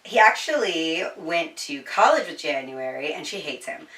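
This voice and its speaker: Echoey, bright-voiced woman chatting about books. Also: low-pitched, very animated, normal speed, far from the mic. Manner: informal, giggly, sweary. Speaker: host reviewing a book. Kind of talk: casual chatty book review. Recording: roomy echo, slightly off-mic.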